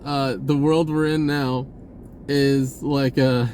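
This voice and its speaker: A man speaks slowly at an everyday middle pitch, halting and searching as he rambles through an informal spiritual monologue. His speech is laid-back and slangy, with repeated words. There is noticeable background noise behind him. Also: level tone, a little mellow. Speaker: spiritual vlogger.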